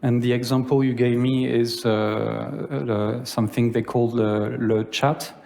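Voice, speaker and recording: A man from France with a French accent giving a conference talk in English, pausing often with filler sounds. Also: medium pitch, fairly even intonation, gravelly, mellow. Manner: hesitant, many filler sounds. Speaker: conference speaker. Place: lecture hall. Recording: long reverb of a hall.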